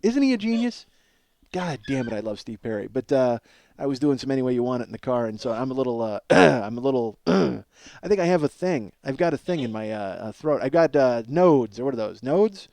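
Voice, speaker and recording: A man in his forties with a slightly hoarse voice, hosting a podcast as a casual comedic monologue, rambling, profane and self-deprecating. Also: medium pitch, very animated, brisk, dark tone, no echo. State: slightly hoarse from strained singing. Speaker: podcast host.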